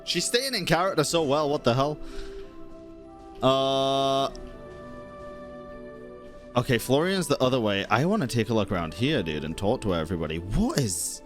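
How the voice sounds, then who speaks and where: A man's voice: medium pitch, very animated, normal speed, pauses often, soft background music. A young man doing casual game stream commentary, informal, sweary and reactive.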